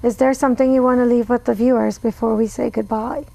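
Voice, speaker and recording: A woman's voice: a little high, steady tone, quick pace, gravelly, warm tone, dry recording. A woman hosting a community interview show, asking friendly, encouraging questions. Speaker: interview host. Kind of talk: casual interview.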